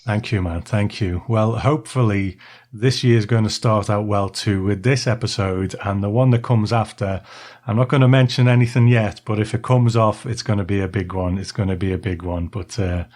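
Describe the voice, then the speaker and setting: A man's voice: fairly low pitch, steady tone, normal speed, darkish tone. A man hosting a podcast, moving between prepared remarks and easy conversation with a guest. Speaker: podcast host. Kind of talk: podcast talk, partly prepared monologue and partly chat.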